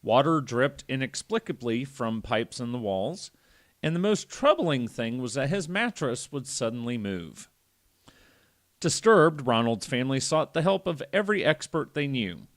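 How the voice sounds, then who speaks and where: A man's voice: mid-range voice, sing-song, unhurried. Male podcast host, mid-range voice. Reads a story, drops in irreverent, sweary commentary. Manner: irreverent, profane. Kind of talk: reading a story aloud with casual joking asides.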